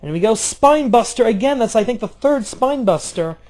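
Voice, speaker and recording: A man doing casual play-by-play of a video game wrestling match, jumping between loud outbursts and low, offhand, swearing asides. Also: lively intonation, normal speed. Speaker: play-by-play commentator.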